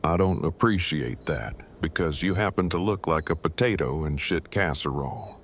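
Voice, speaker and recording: A man's voice: a bass voice, normal speed, a little hiss or hum. A man playing an awkward, self-protective survivor with stilted, formal, wordy speech in an audio drama. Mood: defensive, dry. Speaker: voice actor.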